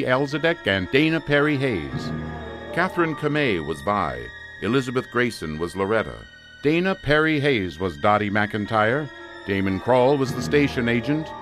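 A male radio drama host delivers scripted closing credits in an old-time announcer style. His mid-pitched voice moves in lively swings over clearly audible music.

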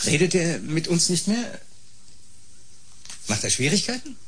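A man's voice: a little high, very animated, measured pace, noisy background. An actor playing a mocking, needling male clinic colleague in a science-fiction radio drama, sarcastic and goading.

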